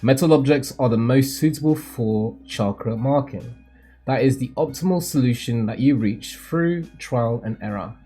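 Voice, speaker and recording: A man voicing a solemn, cryptic mentor who sees the future, in a theatrical, measured, formal manga read-aloud. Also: mid-range voice, mellow, faint music behind, lightly acted. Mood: solemn, instructive.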